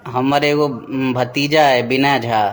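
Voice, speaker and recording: A man's voice: medium pitch, fairly even intonation, takes it slowly, close to an everyday voice. A man with a Bihari accent playing a hesitant, incredulous devotee in a comic cartoon. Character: skeptical, slow-spoken male devotee seeking advice.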